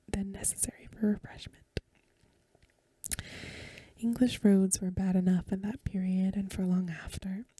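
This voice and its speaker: A woman reading a story aloud in a soft, breathy, mostly whispered ASMR style, gentle and unhurried, close to the microphone. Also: medium pitch, dry recording. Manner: gentle, unhurried, literary narration.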